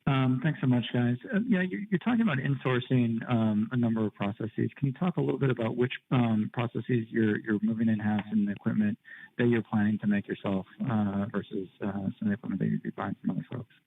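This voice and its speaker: A man's voice: mid-range voice, fast, vocal fry, noisy background. A man speaking as a financial analyst, putting unscripted questions to executives over a phone line on an earnings call.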